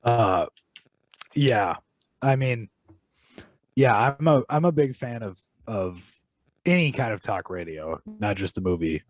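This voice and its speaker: A man's voice: fairly low pitch, lively intonation, slow pace, long pauses. A man co-hosting a film podcast in casual chat. He is wry and self-mocking and halts often on fillers. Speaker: podcast co-host.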